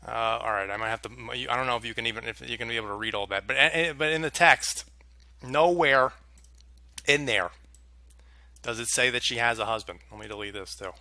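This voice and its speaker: A young man vents to the camera about something that went wrong, sounding exasperated and swearing freely. His voice is bright and pushes forward. The sound is dry, with no room echo. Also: mid-range voice, a little fast. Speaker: vlogger. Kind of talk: casual storytelling rant to camera.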